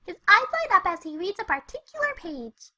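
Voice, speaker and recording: A young cartoon party pony with an extremely high, sparkling bright voice. She is bubbly and perky, telling her storybook tale with animated, bouncy expression. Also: normal speed, heavily acted. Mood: animated storytelling. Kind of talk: first-person storybook narration with character dialogue.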